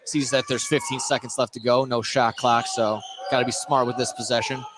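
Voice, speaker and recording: A man's voice: medium pitch, level tone, a little fast, background noise. A man calling a basketball game live as a radio play-by-play commentator.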